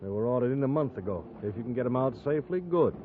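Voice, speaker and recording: An actor playing a stern, cautious US Army captain in a 1950s radio drama, brisk, clipped and authoritative. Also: male voice, medium pitch, expressive, lightly acted. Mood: commanding. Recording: muffled 1950s radio, faint noise.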